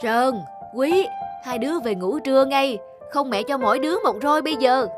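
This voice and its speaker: A woman playing a strict but caring young mother in an animated fable, scolding yet affectionate. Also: sits high, moderate pace, close to an everyday voice. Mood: stern. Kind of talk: animated moral fable voice acting. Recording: music behind the voice.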